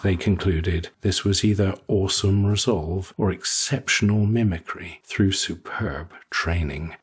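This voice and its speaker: A man reads a short story as narrator. His voice is low and very husky, and his delivery has a wry storyteller's touch. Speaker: audiobook narrator.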